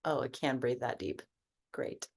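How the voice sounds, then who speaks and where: A woman's voice: a bass voice, steady tone, unhurried. A woman sharing in a group coaching video call, talking in a casual, reflective way, full of fillers and easy swearing. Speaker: coaching participant.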